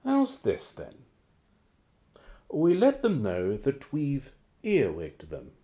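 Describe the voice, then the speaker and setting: Scheming radio-play henchman, deferential and calculating, mid pitch with extremely animated swings. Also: slow pace. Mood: sly scheming. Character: deferential, scheming male fixer with criminal contacts.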